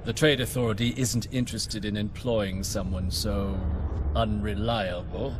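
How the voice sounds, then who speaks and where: A low male voice acted out as a calculating company agent in a video game. He is formal and politely condescending as he bargains. Music sits clearly under the dialogue. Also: lightly acted. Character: smooth, calculating trade company agent collecting debts. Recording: music behind the voice.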